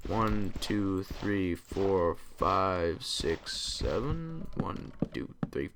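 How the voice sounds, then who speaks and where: A young man hosting a gaming channel, tired and a bit raspy, rambling casually to himself as he plays. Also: low-pitched, sing-song, very slow. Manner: rambling, talks to himself while playing. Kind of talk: casual gameplay commentary. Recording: clear typing noise behind. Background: keyboard typing and clicks.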